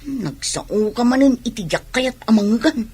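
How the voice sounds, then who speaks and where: A fretful young pregnant wife in an Ilocano radio drama. Her voice sits a little high and is husky, with an Ilocano Filipino accent and an irritable, discontented manner. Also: lively intonation, measured pace, warm tone, exaggerated voice. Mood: weary, complaining.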